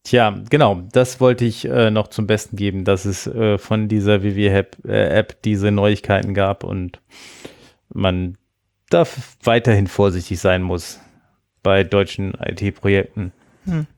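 Low gravelly man giving opinions. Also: a little slow, a little mellow, no room sound, clean studio sound. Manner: opinionated, reads quotes aloud. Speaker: podcast co-host. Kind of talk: casual tech chat.

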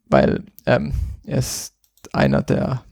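A man co-hosting a podcast, chatting casually about software and thinking aloud with lots of filler sounds. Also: medium pitch, sing-song, slow, gravelly, dry recording, clean studio sound. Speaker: podcast co-host. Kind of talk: casual tech chat.

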